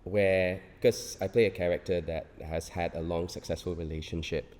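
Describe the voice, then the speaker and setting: A man chats casually, as a cast member being interviewed. His voice is deep and low. It rings with the long reverb of a hall. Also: steady tone, normal speed, darkish tone. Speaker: stage play cast member.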